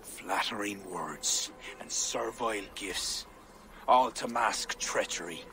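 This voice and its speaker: A man's voice: lowish voice, slow, sharp tone, slight background noise, exaggerated voice, rough recording. An actor with an Irish accent playing a proud, suspicious warrior king, grandiose and imperious, in a game cutscene. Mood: brooding, suspicious. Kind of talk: dramatic game cutscene dialogue.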